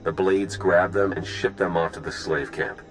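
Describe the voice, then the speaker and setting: A man's voice: sits low, steady tone, normal speed, natural voice, rough recording. A man playing a matter-of-fact mission planner in a video game, practical and instructive.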